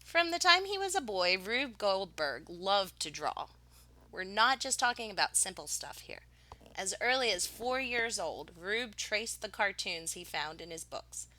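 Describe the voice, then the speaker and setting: A woman reading a picture book aloud like a teacher, expressively, with dramatic pauses and emphasis on key words. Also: mid-range voice, very animated, pushed and bright.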